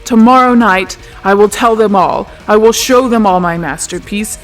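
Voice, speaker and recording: A performer playing an obsessive, secretive, wounded male genius in a hushed, intense, halting manner for a tabletop roleplay. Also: medium pitch, faint music behind, natural voice.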